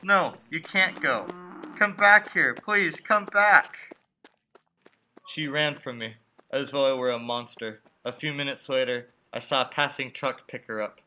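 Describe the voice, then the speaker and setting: A man's voice: medium pitch, unhurried, pushed and bright, rough recording. A young man acting a frightened, haunted motorist in an old-time suspense radio play, earnest and dramatic in delivery. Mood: desperate, pleading. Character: frightened, haunted young male motorist narrating his ordeal. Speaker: student actor.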